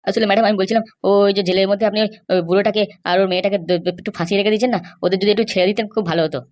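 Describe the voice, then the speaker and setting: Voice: mid-range voice, fairly even intonation, lightly acted. A performer voicing an anxious young woman in a cartoon comedy, pleading and insistent. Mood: begging. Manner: pleading, insistent, halting starts. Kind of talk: amateur cartoon comedy dialogue.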